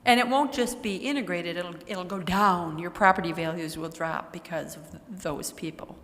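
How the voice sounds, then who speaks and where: A woman's voice: lowish voice, normal speed, fairly bright tone. Older woman, echoey hall. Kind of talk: casual group discussion. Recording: echoey hall with long reverb.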